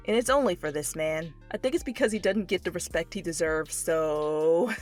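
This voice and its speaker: A woman's voice: lowish voice, moderate pace, faint music behind. A woman hosting a fan fiction channel, moving between story narration and playful casual chat. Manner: goofy, playful, slangy in asides.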